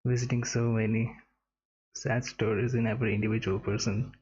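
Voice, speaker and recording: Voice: mid-range voice, level tone, a little fast, a little mellow. A game streamer reacting casually and empathetically to a story while playing, in murmured asides. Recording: dull sound over faint game music.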